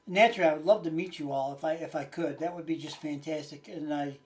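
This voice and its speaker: A man's voice: a little high, normal speed. A man in his late sixties chatting casually and unscripted to his viewers as a channel host, rambling in a folksy way with hesitant phrasing. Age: late 60s.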